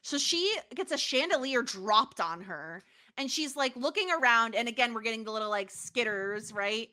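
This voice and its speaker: A woman with a fairly high, crisp-edged and bright voice co-hosts a casual recap podcast. She talks informally and conversationally, with a giggly streak. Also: lively intonation, moderate pace. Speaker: podcast co-host. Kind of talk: casual episode recap chat.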